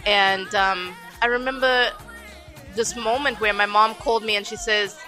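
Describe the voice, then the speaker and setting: Zimbabwean woman with a bright, animated voice. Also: mid-range voice, normal speed, soft background music. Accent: Zimbabwean, toned toward American. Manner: animated, acts out quotes in other voices. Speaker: guest singer. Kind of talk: candid conversational storytelling. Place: radio studio.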